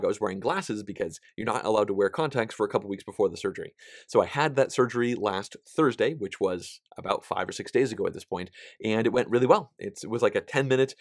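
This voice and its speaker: A man in his thirties with a somewhat nasal voice, giving a casual, animated vlog update about his board game channel. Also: fairly low pitch, no echo, clean studio sound. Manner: chatty and animated, gesturing with both hands, frequent fillers. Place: small indoor studio, plain backdrop.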